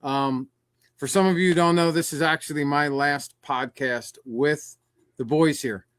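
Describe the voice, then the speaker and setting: A man's voice: fairly high pitch, steady tone, unhurried, a bit bright, clean tone, no room sound, clean studio sound. A man hosting a podcast, talking in an upbeat, appreciative way in casual chat. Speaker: podcast host.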